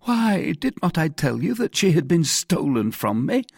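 A man's voice: on the high side, very animated, moderate pace, vocal fry, a little mellow, breathy edge, no echo, clean studio sound, voice pushed up, full character voice. A man voicing a timid, anxious husband in an audiobook, protesting and pleading in a whiny, over-earnest way. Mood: frantic, pleading. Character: timid, pleading middle-class husband, anxious and eager to placate. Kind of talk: dramatized audiobook reading.

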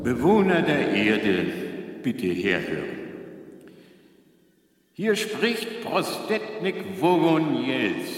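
An actor in a radio drama playing a pompous, callous alien official announcing over a reverberant loudspeaker. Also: male voice. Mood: officious. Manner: pompous, coldly bureaucratic announcing. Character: officious, callous alien bureaucrat commanding a demolition fleet. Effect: booming reverberant loudspeaker. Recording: cavernous echo, far off, noisy.

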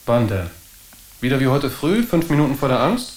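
A woman's voice: rumbling low, very animated, moderate pace, a little mellow, echoey room, low-quality recording. A woman playing a curt, nagging, offhand parent in a naturalistic German radio drama. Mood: reproving.

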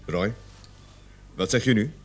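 Low-voiced, measured police sergeant. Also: male voice, soft background music. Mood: calm, grave. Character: calm, authoritative police sergeant questioning a suspect. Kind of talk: radio play acting.

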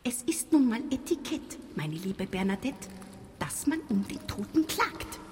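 A haughty mother delivers scornful rhymed lines in a radio play. Her speech is slow with lively pitch. A long reverb surrounds her. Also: slight background noise. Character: haughty, contemptuous mother, insisting on etiquette. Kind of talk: rhymed radio drama dialogue.

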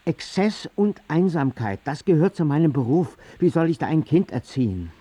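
Husky, sardonic artist father. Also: on the high side, animated, normal speed, dark tone, no room sound. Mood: anguished. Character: Bruno's father, anguished, sardonic, reluctant artist. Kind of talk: radio drama dialogue.

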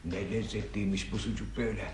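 An actor playing a genial, dreamy elderly man in an unhurried, savouring radio theatre performance. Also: on the low side, flat delivery, a little mellow, lightly acted. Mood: contented, gentle. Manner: savouring, unhurried, breaks into singing. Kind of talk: Turkish radio theatre acting. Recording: noisy old radio drama recording.

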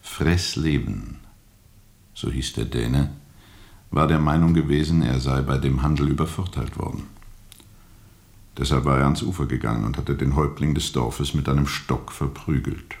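An actor playing a weary, bitterly ironic steamboat captain in a radio play, speaking deliberately and sardonically with weighty pauses. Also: male voice, a bass voice, fairly even intonation, vocal fry, a little mellow. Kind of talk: radio play acting, testimony under questioning.

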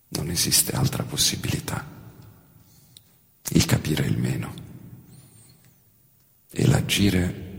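An actor on stage playing a grave, guilt-ridden father, declaiming slowly and weightily. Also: on the low side, gravelly. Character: grave middle-aged man, a guilt-ridden father confessing. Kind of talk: theatrical monologue. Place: theater stage. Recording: long reverb of a theater hall.

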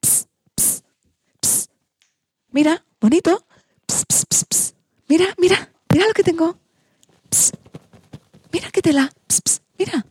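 A scheming animal trapper from a children's audio tale, put on by a woman. The voice is pushed high and sugary, coaxing in sing-song and hissing to lure its prey. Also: measured pace, long pauses, a little mellow, dry recording. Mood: sweetly luring.

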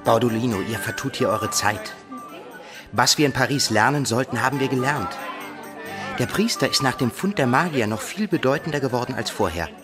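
Man plays blunt student companion in medieval radio drama, irreverent, mid-pitched, prominent music behind. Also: expressive, fairly quick, close to an everyday voice. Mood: urging, emphatic. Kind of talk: German radio drama acting.

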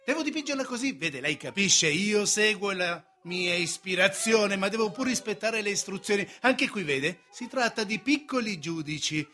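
An actor in a radio drama playing a garrulous, sly, self-important court painter, speaking in a theatrical, emphatic and insinuating way. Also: male voice, sits high, animated, normal speed, few pauses, sharp tone. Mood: busy, expansive.

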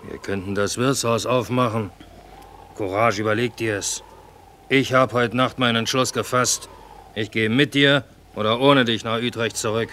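An actor playing a fed-up, hard-headed cook in a radio drama, speaking bluntly and insistently. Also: male voice, mid-range voice, fairly even intonation, moderate pace, fairly bright tone, a little hiss or hum, lightly acted. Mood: fed up, resolute. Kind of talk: naturalistic stage-style radio drama.

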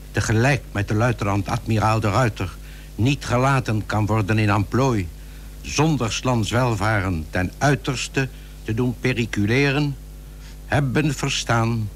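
An actor playing a solemn official reading out a state decree in stately, archaic style for a radio play. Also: male voice, medium pitch, close to an everyday voice. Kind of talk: formal proclamation in radio play. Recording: old radio recording with faint hum.

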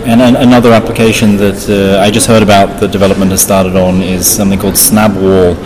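A man's voice: deep voice, level tone, fairly quick, poor audio. A man being interviewed as a guest developer, explaining technical things in plain comparisons. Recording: chatter of a crowd behind. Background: busy conference crowd.

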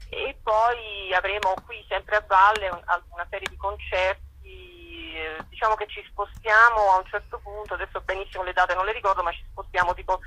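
A woman's voice: mid-range voice, fairly even intonation, moderate pace, few pauses, bright, forceful tone, slight background noise, rough recording. A woman interviewed by telephone as a festival director, explaining and listing details with hesitant repetitions.

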